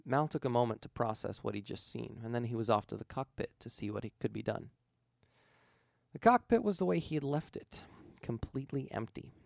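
A man's voice: medium pitch, animated, a little fast, vocal fry, darkish tone, no echo, studio quality. A man reading a scripted story aloud as an audiobook narrator. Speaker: fan fiction audiobook reader.

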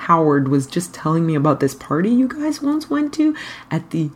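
A woman's voice: low voice, very animated, moderate pace, husky, exaggerated voice. A woman voicing a playful, teasing young woman in a casual, amused way for a story.

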